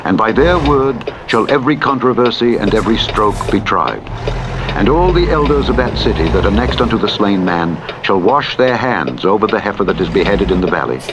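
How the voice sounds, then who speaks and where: A man narrating the Bible in a solemn, formal and measured way, with deliberate phrasing. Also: lively intonation. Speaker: audiobook narrator reading scripture. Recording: muffled radio-like audio under loud truck noise. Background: loud vehicle rumble from a truck driving game.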